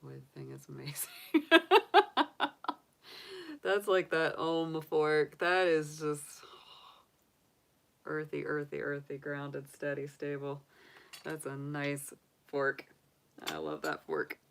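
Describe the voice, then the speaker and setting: A woman's voice: sits low, takes it slowly, creaky, pauses often, a little hiss or hum. A woman from the United States, casually chatting on a livestream as a tarot reader, rambling with many fillers and restarts. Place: home room with candles and sage.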